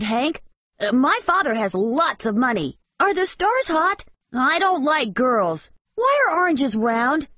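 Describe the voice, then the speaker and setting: A male narrator voicing a wild, loud ten-year-old boy who chatters in a shrill, childish way. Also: dry recording, exaggerated voice.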